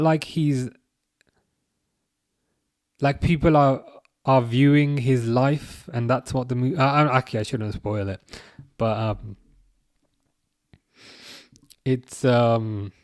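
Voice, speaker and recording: A man hosting a live watch-along stream, chatting casually with viewers in a relaxed, hesitant way with plenty of fillers. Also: mid-range voice, dry recording, clean studio sound. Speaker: livestream host reading chat.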